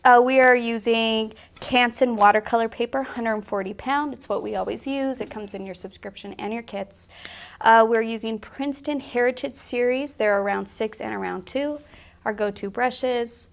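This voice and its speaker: A woman hosts a live painting tutorial in a casual, chatty way, with plenty of fillers and laughter. She has a slight cold. Her voice sits a bit high and carries a gravelly fry. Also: moderate pace. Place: art studio.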